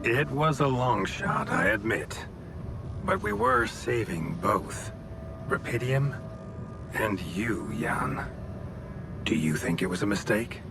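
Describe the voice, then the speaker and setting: Slow, calculating corporate boss. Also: male voice, medium pitch, sing-song, creaky, background noise, heavily acted. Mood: calm pressure. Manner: measured, condescending, faux-sympathetic, erudite. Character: smooth-talking, calculating corporate mining boss. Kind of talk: cinematic video game acting. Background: prominent music.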